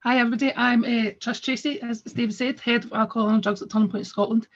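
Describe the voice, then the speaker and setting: A woman with a cold gives a friendly webinar talk, her voice husky and croaky. She fills her sentences with ums and uhs. The audio is dull and lo-fi. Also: fairly high pitch, fairly even intonation, quick pace. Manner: friendly, with frequent fillers. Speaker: head of a drug and alcohol service. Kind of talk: webinar presentation.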